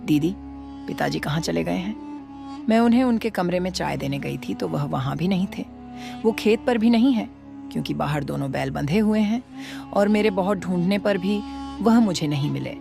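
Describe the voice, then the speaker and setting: Woman as creaky-voiced scheming young village wife. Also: fairly low pitch, animated, moderate pace, music in the background. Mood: anxious. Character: scheming, complaining young daughter-in-law of village family. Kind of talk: Hindi cartoon moral story dialogue.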